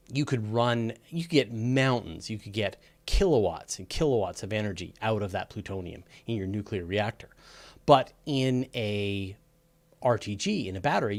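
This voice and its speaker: Male host, lowish voice, informal explainer delivery for a live astronomy Q&A, conversational. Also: darkish tone. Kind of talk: casual live explainer talk answering questions.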